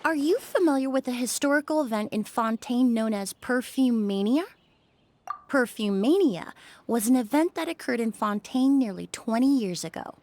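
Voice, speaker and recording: A woman voicing a composed young perfumer in a video game, speaking in a measured, explanatory way. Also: fairly high pitch, expressive, a little mellow. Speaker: perfumer with medical knowledge. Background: faint game music.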